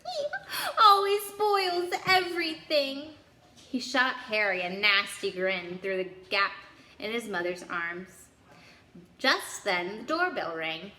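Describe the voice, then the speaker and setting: Lady doing story time, expressive read aloud, bright highish voice, echoey room with a bit of noise. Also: slow pace.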